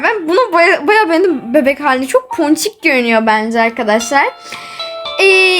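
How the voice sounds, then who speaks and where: A woman with a very high, girlish-pitched voice chats casually to her viewers as a game channel host. She gushes as she describes what is on screen, with music playing behind her. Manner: gushing, chatty, often addressing viewers.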